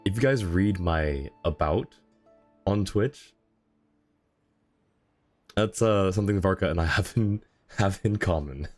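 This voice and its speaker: A man with a deep voice chats casually as a game streamer. He comments on the game and talks to viewers, with faint game music in the background. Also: lively intonation, measured pace, long pauses. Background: video game music.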